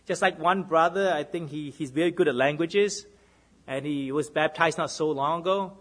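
A man with a Boston accent, preaching to a congregation as minister, often checking in with his listeners and dropping into hushed repeats for emphasis. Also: on the high side, moderate pace. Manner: keeps checking in with listeners, asking "right?". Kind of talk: sermon to a congregation.

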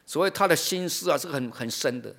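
A man's voice: on the high side, animated, gravelly, a bit bright. Middle-aged male preacher, explanatory delivery. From China. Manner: explanatory, pausing for translation, with rhetorical questions. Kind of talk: sermon delivered in short phrases for an interpreter.

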